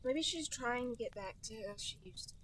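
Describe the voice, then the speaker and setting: A woman's voice: on the high side, lively intonation, moderate pace, a bit bright, slightly rough. A woman co-hosting a comedy podcast with casual, joking chat. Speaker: podcast co-host.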